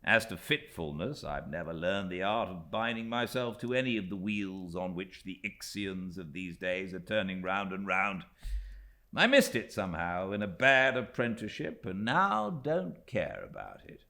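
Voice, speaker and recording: A man voicing a cheerful, self-assured young British gentleman in a classic audiobook, with an airy, jocular and emphatic delivery. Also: deep voice, very animated, moderate pace, pitched above the performer's own voice, heavily acted. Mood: merry, airy. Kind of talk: dramatised classic audiobook dialogue.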